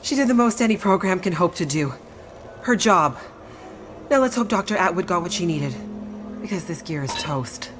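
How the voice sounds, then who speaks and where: A woman's voice: on the low side, animated, normal speed, long pauses, noisy background, lightly acted. An actress playing a young woman scientist in a video game, an earnest assistant with a dryly wry manner. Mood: wry, resigned. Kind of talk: dramatic video game acting.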